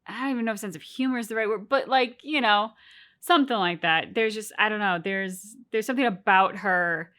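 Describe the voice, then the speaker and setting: A woman co-hosting a game reaction video, talking in an animated, rambling way with many restarts. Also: medium pitch, a little fast. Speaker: co-host of a game reaction channel. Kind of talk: casual reaction chat.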